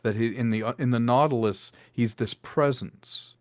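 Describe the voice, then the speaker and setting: A man's voice: mid-range voice, normal speed, no room sound, clean studio sound. A man talking casually as a director in an interview, explaining his sound work eagerly with frequent restarts. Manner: enthusiastic, explains with many restarts and voiced asides.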